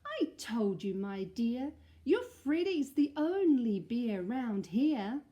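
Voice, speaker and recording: A woman's voice: on the high side, sing-song. A woman voicing a cheerful mother in a storybook who brushes off her child's fears. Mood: dismissive. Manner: bright, brisk, reassuring.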